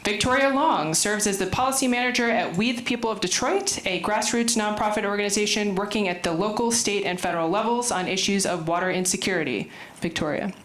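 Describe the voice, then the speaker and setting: A woman moderating a conference panel, lively and playful, with a loud voice. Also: medium pitch, a little fast. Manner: lively, playful, talks loudly. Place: conference room. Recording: roomy echo, faint room noise.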